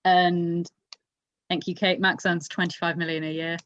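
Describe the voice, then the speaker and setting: A woman's voice: on the low side, little pitch movement, moderate pace, a bit bright, no room sound. A woman talking casually as a panellist in a motorsport discussion. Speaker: podcast panellist. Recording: dry, slightly dull lo-fi mic.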